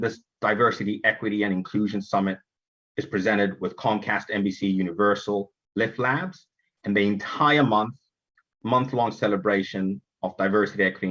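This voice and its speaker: Husky low-voiced man from Zimbabwe. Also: fairly bright tone, muffled recording. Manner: earnest, emphatic, with fillers and restarts. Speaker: event host. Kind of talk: virtual summit welcome address. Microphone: virtual conference stream.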